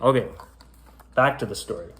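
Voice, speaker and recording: A man's voice: medium pitch, animated, fairly bright tone. A man reading a children's bedtime story aloud in a theatrical, suspenseful way. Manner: theatrical, drawn-out, suspenseful delivery. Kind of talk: dramatic storybook read-aloud with casual asides. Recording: roomy, echoey sound.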